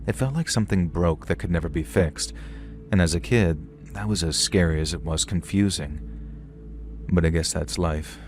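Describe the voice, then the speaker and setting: Deep-voiced man narrating a story. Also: normal speed, a little mellow, faint music behind. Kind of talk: scripted horror story narration.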